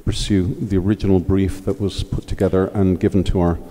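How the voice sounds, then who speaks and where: A man giving a formal financial report at a meeting, answering questions in a measured, hesitant way with many fillers. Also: sits low, little pitch movement, dark tone, slight background noise. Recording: reverberant hall.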